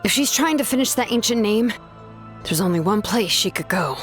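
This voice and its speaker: A woman's voice: mid-range voice, animated, normal speed, soft background music, close to an everyday voice. An actress voicing a confident young woman investigator in a video game, shrewd and persistent, with a pointed, assertive way of questioning. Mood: serious, determined.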